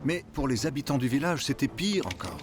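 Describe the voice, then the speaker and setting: Un homme qui joue le narrateur expressif d'un conte animé pour enfants. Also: male voice, medium pitch, big pitch swings, a little fast, close to an everyday voice. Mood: dramatic storytelling. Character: expressive storybook narrator of a children's cartoon. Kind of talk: scripted narration. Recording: loud music under narration.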